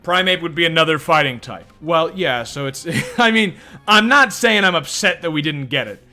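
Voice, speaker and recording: A man's voice: fairly high pitch, expressive, moderate pace, sharp tone, faint music behind. A man giving casual, profane, rambling commentary while playing a video game and reacting to chat. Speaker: let's play streamer.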